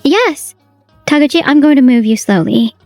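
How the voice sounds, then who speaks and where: A woman's voice: high-pitched, animated, normal speed, darkish tone, no room sound, faint music behind. A woman voicing a worried young office coworker in a manga dub, earnest and anxious.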